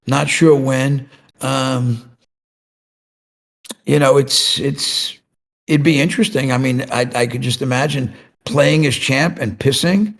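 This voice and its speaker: A man answering fan questions in a casual voice chat, slow and hesitant with many restarts. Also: on the high side, creaky. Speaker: game studio representative answering questions.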